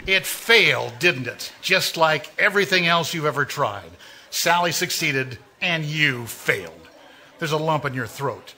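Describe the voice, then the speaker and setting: Actor as an all-knowing radio mystery narrator, mocking and measured, slightly high, sharp tone. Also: male voice, animated, exaggerated voice. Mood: taunting. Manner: taunting second-person narration, measured and ominous. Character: sinister omniscient male narrator of a radio mystery. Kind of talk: 1940s radio acting.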